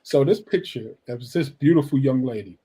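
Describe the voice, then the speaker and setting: A man from Brooklyn, New York hosts a radio interview in a relaxed, chatty way. He is friendly and excited and keeps circling back with "so now" before each question. His voice sits at an ordinary pitch. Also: normal speed, slightly rough. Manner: friendly, hyped, repeats "so now". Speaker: radio show host. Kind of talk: casual interview.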